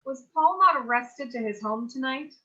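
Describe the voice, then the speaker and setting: An actress playing a brisk, no-nonsense young babysitter who scolds firmly in theatrical mock-Shakespearean English. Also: female voice, high-pitched, animated, bright, forceful tone, dull audio. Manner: firm, theatrical. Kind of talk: Shakespearean parody staged reading.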